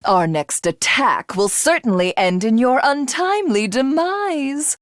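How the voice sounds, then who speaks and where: An actress playing a haughty, vengeful alien warlord in a video game, speaking in formal, mocking, theatrical lines. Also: female voice, high-pitched, lively intonation, measured pace, no echo, clean studio sound. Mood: vengeful, menacing. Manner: imperious, mocking, formal archaic diction. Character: haughty, vengeful alien warlord, a self-styled living god. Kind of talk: theatrical game villain monologue.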